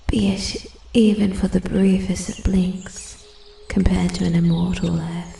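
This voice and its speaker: A woman voicing an ancient immortal forest spirit, haughty yet intrigued, in a soft-spoken ASMR roleplay, with breathy, formal, measured speech that often drops to a whisper. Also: medium pitch, reverberant, slight background noise. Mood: wistful, superior. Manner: slow, measured, formal and condescending. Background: ambient forest sounds, birdsong.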